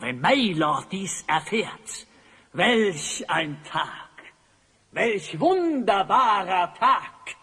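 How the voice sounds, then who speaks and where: High, swooping tyrant sorcerer in cavernous reverb. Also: male voice, pushed and bright, husky, heavily acted. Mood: gloating. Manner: pompous, commanding, theatrical. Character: tyrannical, gloating evil sorcerer, Master of Time. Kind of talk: German audio drama acting.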